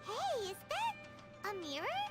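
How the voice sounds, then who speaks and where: A tiny fairy companion girl with an extremely high, childlike squeak. She is timid but excitable and drags her words slowly, with big swoops in pitch. It is a video game cartoonish voice. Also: full character voice. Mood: curious. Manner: refers to self in third person. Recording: loud game music under the voice.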